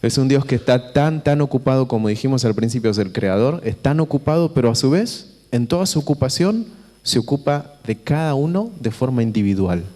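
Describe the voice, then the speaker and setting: Male visiting preacher, Argentine accent, dark soft-edged tone, informal and halting, long auditorium reverb. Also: mid-range voice, lively intonation. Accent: Argentine Spanish. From Buenos Aires, Argentina. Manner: conversational, halting, repeats phrases and checks in with listeners. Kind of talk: informal sermon to a congregation. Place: church auditorium. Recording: reverberant hall with long echo.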